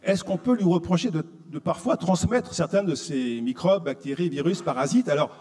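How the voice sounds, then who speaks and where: A man's voice: fairly high pitch, animated, normal speed, mellow. A man from France, giving a didactic conference lecture that he paces with rhetorical questions. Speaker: conference speaker. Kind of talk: explanatory public lecture. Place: reverberant conference hall. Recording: long hall reverb.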